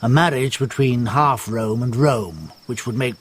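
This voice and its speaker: An actor playing a wry storyteller recounting family history in a radio drama, measured and amused over music. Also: male voice, mid-range voice, slight background noise, close to an everyday voice. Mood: wry, reminiscing. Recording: music bed under narration.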